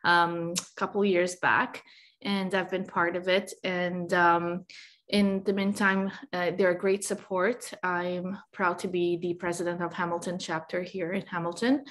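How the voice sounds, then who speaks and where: A woman's voice: on the low side, level tone, measured pace, a bit bright. A woman from Portugal, introducing herself as a chapter president in an online meeting. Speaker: chapter president and agency owner. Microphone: video call.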